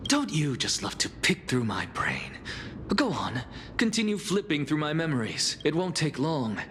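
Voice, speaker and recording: A proud, sardonic scholar, swinging in pitch. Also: male voice, sing-song, normal speed, fairly bright tone, slight background noise. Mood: sardonic, irritated. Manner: dry, theatrical, biting. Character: proud, sardonic scholar man, brilliant and defiant heretic alchemist. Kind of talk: dramatic video game voice acting. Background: music.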